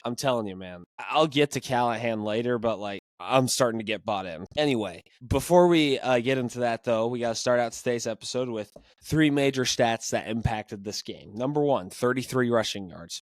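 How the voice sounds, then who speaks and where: A hoarse young man hosting a casual sports podcast, ranting with animated, exasperated energy. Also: mid-range voice, normal speed, no room sound. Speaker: podcast host.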